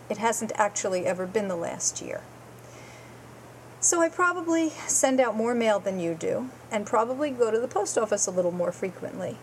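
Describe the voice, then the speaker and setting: A woman's voice: fairly high pitch, a little mellow, a little hiss or hum, rough recording. A woman hosting a guitar show, reading a prepared segment in an earnest way and going carefully through lists.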